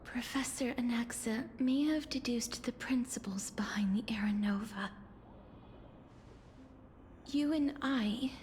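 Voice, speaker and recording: An actress playing a gentle, solemn young woman bound to death, speaking in a measured, soft way for a video game. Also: a little high, slight background noise. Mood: grave, hesitant. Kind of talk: dramatic video game voice acting.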